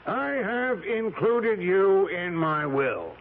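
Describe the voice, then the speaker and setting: A man's voice: sits high, lively intonation, slow, exaggerated voice. An actor playing a wealthy, jovial older father with a ceremonious, self-satisfied manner in a 1970s radio drama. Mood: pompous, pleased. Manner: chuckling, ceremonious. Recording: tinny, noisy 1970s radio broadcast.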